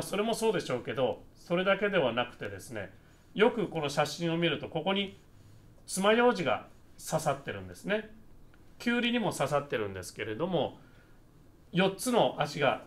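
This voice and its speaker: Male Buddhist minister from Japan, explanatory and conversational, slightly high voice with very animated pitch movement. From Nagasaki, Japan. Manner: conversational, explains step by step, often ends phrases seeking agreement. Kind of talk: explanatory Buddhist talk with photos.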